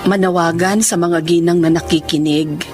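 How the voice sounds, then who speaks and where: A woman's voice: on the low side, steady tone, moderate pace, over music, natural voice. An actress playing a remorseful, desperate wife and mother in a Filipino radio drama, emotional and pleading. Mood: remorseful, sober.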